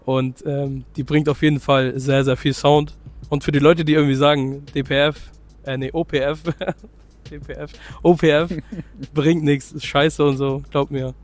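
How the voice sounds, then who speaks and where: Young guy a bit hoarse from a cold, rambling casually about his car outside, lots of ähms, voice a little on the high side. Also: level tone, measured pace. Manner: loose, chatty, full of fillers and self-corrections. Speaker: car vlogger showing his own car. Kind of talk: casual car walkaround vlog.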